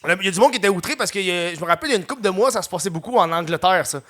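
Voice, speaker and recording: A young adult man with a Quebec French accent hosts a live comedy podcast. He chats casually, laughs often and acts out quotes in loud mock voices. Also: high-pitched, lively intonation, very fast. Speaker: podcast host. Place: venue with a live audience.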